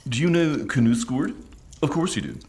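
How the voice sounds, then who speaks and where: A man's voice: medium pitch, big pitch swings, moderate pace, darkish tone, a little hiss or hum. An actor playing a pompous, bitter unpublished writer in a radio drama, theatrical and sardonic, answering his own rhetorical questions. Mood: pompous, scornful.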